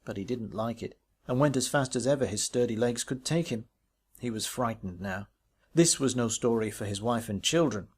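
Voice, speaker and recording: A man reading a ghost story aloud as literary narration, in a measured, expressive way with varied emphasis. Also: mid-range voice, slightly rough. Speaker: audiobook reader.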